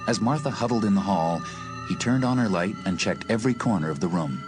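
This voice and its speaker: A male narrator with a low, deep voice reads a suspense story as scripted audiobook narration. Music plays clearly behind him. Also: expressive, fairly quick. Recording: music under the voice.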